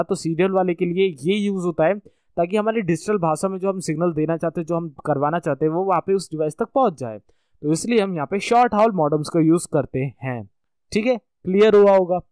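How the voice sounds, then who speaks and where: A man's voice: high-pitched, brisk, a little mellow, clean tone, dry recording. A man with an Indian accent giving an informal explanatory lecture as an instructor, often rephrasing his points and checking that the listener follows. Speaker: networking course instructor.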